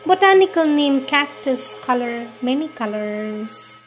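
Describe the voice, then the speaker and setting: A woman reading a devotional script in a measured, solemn manner. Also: sits high, expressive. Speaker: reader.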